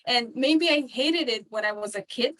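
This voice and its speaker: A woman's voice: on the high side, normal speed, a bit bright. A woman in her twenties from Romania, chatting as a podcast guest and rambling with many fillers and restarts. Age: 20s. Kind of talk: casual interview chat.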